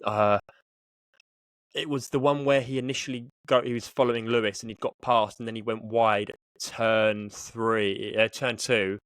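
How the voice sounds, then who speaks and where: A man's voice: fairly low pitch, steady tone, normal speed, a bit bright, smooth, no echo, studio quality. A man co-hosting a motorsport podcast, talking casually and emphatically as he tells a story. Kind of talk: casual sports discussion.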